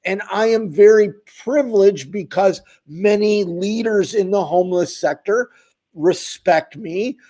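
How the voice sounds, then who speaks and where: A man's voice: sits high, unhurried, mellow. A man who runs an advocacy channel, speaking straight to his viewers in an impassioned, ranting way. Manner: emphatic, ranting, addresses viewers directly. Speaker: homeless advocate, channel host. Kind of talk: impassioned direct-to-camera talk.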